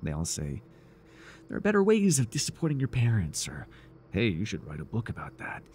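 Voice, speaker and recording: A man playing a devoted, obsessive, fawning cult follower in a theatrical, halting ASMR roleplay. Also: fairly low pitch, wildly swooping pitch, darkish tone, slight background noise. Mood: dejected. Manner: theatrical, breathy sighs, nervous chuckles, halting. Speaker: voice actor.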